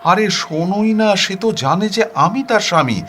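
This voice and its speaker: Man acting a flustered, pleading husband, raised pitch. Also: lively intonation, normal speed, fairly bright tone, soft background music. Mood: flustered, defensive. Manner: hesitant, protesting, pleading. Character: flustered, defensive husband pleading with his angry wife.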